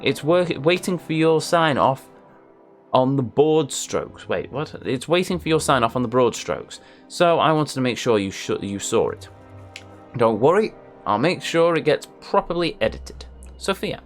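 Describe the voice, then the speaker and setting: A man's voice: medium pitch, expressive, unhurried, soft background music. A man hosting a let's play, reading on-screen messages aloud and adding wry asides. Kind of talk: casual game commentary.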